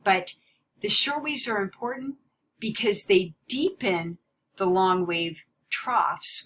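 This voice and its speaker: A woman giving an explanatory slide lecture as a teacher, stressing key words in a deliberate way. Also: mid-range voice, fairly bright tone, slight background noise, poor audio.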